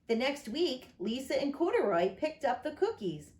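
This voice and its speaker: A woman reading a picture book aloud as an expressive storyteller for children. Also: sits high, moderate pace. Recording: echoey room.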